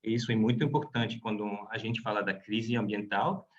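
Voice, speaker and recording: A man's voice: on the low side, moderate pace. A man giving a webinar talk as a guest professor, speaking Portuguese that is not his first language, hesitant and full of restarts. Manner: hesitant, with many restarts and repeated words. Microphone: video call. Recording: slightly dull video-call audio.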